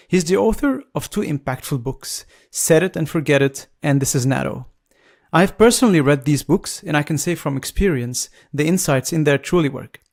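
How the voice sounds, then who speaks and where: A man's voice: a little high, gravelly. A man hosting a podcast, giving prepared introductions and asking interview questions in a formal, courteous manner. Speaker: podcast host.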